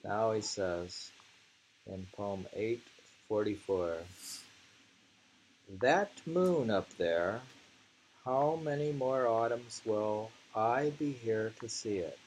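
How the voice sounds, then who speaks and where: A man reading poetry aloud and commenting casually, in a halting, musing way that circles back over phrases. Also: lowish voice, a little mellow, a little hiss or hum, rough recording.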